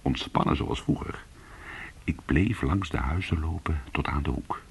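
An actor playing a weary, hard-boiled former cat burglar, telling his story in an intimate, brooding and deliberate way in a radio play. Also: a bass voice, vocal fry, husky, heavily acted. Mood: hushed, tense.

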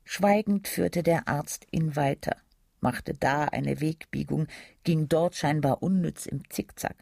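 Male narrator, literary radio drama, gravelly, slightly high-pitched, serious, deliberate. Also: darkish tone, dry recording, clean studio sound.

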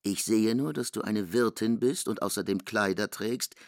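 A man's voice: lowish voice, normal speed, creaky, no room sound, studio quality. A man reading scripted literary narration, dialogue included, in a radio drama.

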